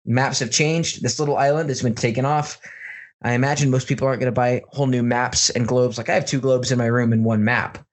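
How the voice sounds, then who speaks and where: A man with an American accent hosting a casual, jokey podcast, telling news stories in an informal, animated way. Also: mid-range voice, brisk. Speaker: podcast host. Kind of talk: casual comedic news banter.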